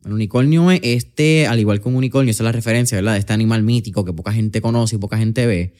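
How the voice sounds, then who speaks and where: A man's voice: fairly low pitch, quick pace, darkish tone. A man hosting a podcast, framing his questions conversationally and thinking aloud. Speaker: podcast host. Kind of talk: casual interview conversation.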